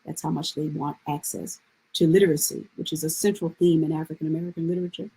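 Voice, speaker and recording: A woman explains literature in a thoughtful, measured way, as a scholar on a panel. Her voice sits on the low side, with a very mellow tone and a husky grain. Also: fairly even intonation, low-quality recording. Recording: live online event.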